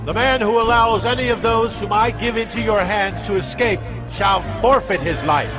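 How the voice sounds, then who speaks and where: A man's voice: sits high, moderate pace, lightly acted, poor audio. An actor playing a fierce ancient warrior king who barks military orders in an audio Bible drama. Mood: fierce, commanding. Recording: music and crowd noise behind.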